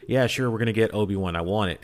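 A man with a fairly low voice talks casually as a podcast panelist. He is emphatic and fervent, pressing his opinions on listeners like a devoted fan. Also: fast talker.

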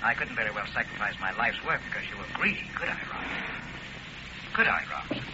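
An actor playing a polite, coolly murderous doctor obsessed with research, speaking with genteel precision in an old radio drama. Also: male voice, fairly low pitch, expressive, normal speed, close to an everyday voice. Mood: coolly sinister. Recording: muffled, noisy old radio recording.

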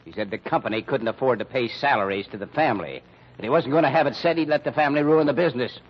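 An actor playing a dour, pessimistic company treasurer who grumbles through an American old-time radio mystery. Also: male voice, mid-range voice, fast talker, slightly rough, close to an everyday voice. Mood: bitter. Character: sour, gloomy, grumbling male company treasurer. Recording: dull vintage radio recording.

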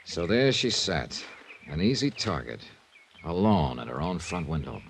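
Skeptical detective, radio drama, deep-leaning voice, very varied intonation, wry, noisy old recording. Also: male voice, big pitch swings, a little slow, gravelly, exaggerated voice. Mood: brooding. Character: probing, skeptical male insurance investigator, world-weary. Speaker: detective lead and narrator.

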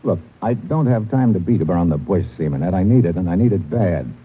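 A tough, cool-headed secret agent tells his adventure in a very deep voice. His delivery is clipped, slangy and hard-boiled. It comes through a thin, noisy old radio recording. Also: lively intonation, fairly quick, phone-quality sound. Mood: firm, businesslike.

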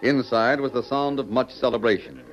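A man narrating an old-time radio western adventure in a clear, dramatic announcer's manner. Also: mid-range voice, moderate pace, close to an everyday voice. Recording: muffled vintage radio recording with music.

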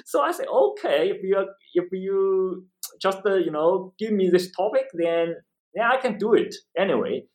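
Male academic guest, Chinese accent, high voice with very animated pitch, conversational, repeats himself and tags points with "right?". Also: normal speed. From eastern China. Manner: repeats phrases, often tags statements with "right?". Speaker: interview guest, history professor and author. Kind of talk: conversational explanation in an interview.

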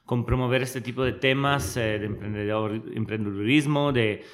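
A man. His voice sits at an ordinary middle pitch. He talks in a reflective, storytelling way, often pausing to hunt for the right word as he looks back on his life. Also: steady tone. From Switzerland. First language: Italian. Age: early 30s. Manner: reflective, storytelling, searches for words. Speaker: guest. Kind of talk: conversational interview.

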